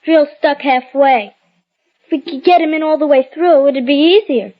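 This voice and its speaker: A woman's voice: high voice, normal speed, lightly acted. An actress playing a busy, offhand little girl in an old radio drama, preoccupied and matter-of-fact. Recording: dull old radio recording.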